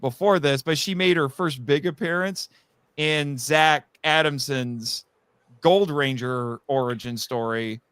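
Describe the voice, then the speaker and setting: A man talking casually as a guest about his writing, telling stories in an animated way and acting out quotes. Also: on the high side, unhurried, clear, resonant tone, no room sound, clean studio sound. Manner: animated storyteller, acts out quotes. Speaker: guest, audio drama writer. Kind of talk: casual chat.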